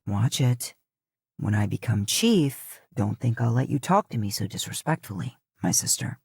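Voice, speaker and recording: A woman's voice: rumbling low, lively intonation, moderate pace, gravelly, a little mellow, husky, no room sound, clean studio sound, voice pushed down, heavily acted. A woman voicing a cocky, teasing young man, the chief's son and heir. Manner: teasing, swaggering.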